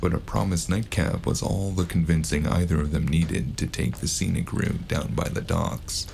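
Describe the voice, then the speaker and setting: Man playing a gravelly, very deep noir detective. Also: steady tone, moderate pace, darkish tone, faint music behind. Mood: brooding narration. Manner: dry, sardonic, laconic. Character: world-weary, wisecracking male noir detective, narrating and bantering. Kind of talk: noir audio drama dialogue and narration. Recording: noisy sound bed.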